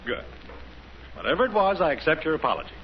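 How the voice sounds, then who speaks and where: A smug, bickering American neighbor in a fifties radio comedy. His voice is high and lively. The vintage recording is dull and clearly noisy. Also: fast talker. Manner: smug, teasing. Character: cocky, bickering, know-it-all male neighbor. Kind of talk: 1950s radio sitcom acting.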